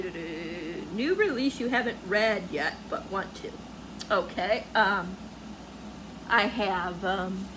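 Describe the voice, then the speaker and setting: A woman's voice: medium pitch, noisy background. A woman book vlogger chatting casually to viewers, gushing and rambling as she searches for words.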